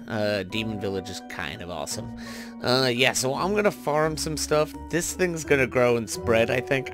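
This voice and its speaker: A man hosting a gaming video, giving casual commentary and thinking aloud in an informal way as he plays. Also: medium pitch. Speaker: host of a gaming video series.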